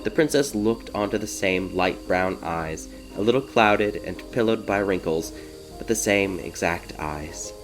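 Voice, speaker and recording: A man's voice: low-pitched, a little slow, rough recording. A man reading scripted fairy tale narration as an audiobook storyteller. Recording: prominent music behind the narration. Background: music.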